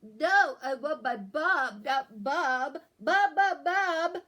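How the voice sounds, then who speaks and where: Woman putting on a shouty, stuffed-up sick little boy. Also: sits high, animated, very slow, voice pushed up, exaggerated voice. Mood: loud, demanding. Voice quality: congested, stuffed-up nasal. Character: sick little boy, whiny, clingy and demanding.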